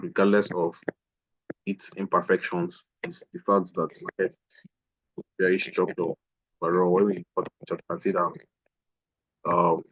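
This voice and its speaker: A man's voice: low-pitched, muffled recording. A man teaching a live online trading lesson, explaining a price chart and repeating phrases as he points things out. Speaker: trading instructor.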